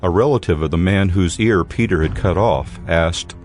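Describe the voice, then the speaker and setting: Deep male scripture narrator. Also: normal speed, darkish tone, faint music behind.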